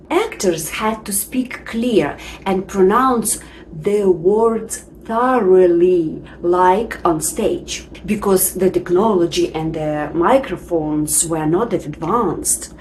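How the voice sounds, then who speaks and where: A woman teaching English as a second language, narrating a scripted lesson in a clear, deliberate way. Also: fairly low pitch, a little hiss or hum, low-quality recording. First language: not English.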